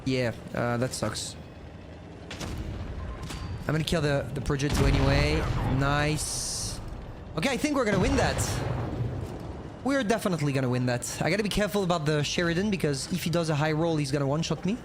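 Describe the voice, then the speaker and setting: Young French-accented male streamer, high-pitched, loose and chatty, noisy mic that keeps cutting out. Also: brisk, long pauses. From France. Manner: casual, rambling, talks to himself. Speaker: gaming streamer. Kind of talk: live gameplay commentary, chatting with viewers.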